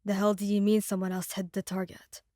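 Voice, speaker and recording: A woman's voice: medium pitch, level tone, fairly quick, dark tone, no echo, studio quality, natural voice. Woman voicing an angry, growling young mafia boss. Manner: growling, impatient, swearing.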